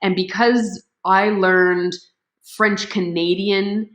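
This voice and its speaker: A woman's voice: medium pitch, steady tone, takes it slowly. A woman guest speaking thoughtfully in a casual interview. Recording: roomy echo.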